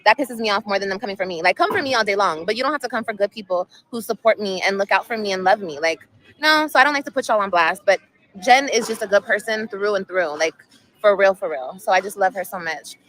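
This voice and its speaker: A woman's voice: medium pitch, fast, fairly bright tone, slight background noise, poor audio. A woman in her thirties chatting casually on a livestream outdoors at sunset, emotional and rambling, repeating herself often. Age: 30s. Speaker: vlogger.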